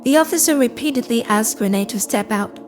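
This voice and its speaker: Woman as a calm, impassive AI storyteller. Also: a little high, brisk, close to an everyday voice. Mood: somber, measured. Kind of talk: scripted first-person audio drama narration. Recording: cinematic music behind. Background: cinematic score.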